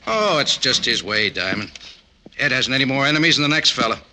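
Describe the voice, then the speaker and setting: An easygoing American plant foreman in a 1940s radio drama. His voice is very bright and lively in pitch, casual and reassuring, on a faintly noisy recording. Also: brisk. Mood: amiable. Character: amiable, easygoing factory foreman.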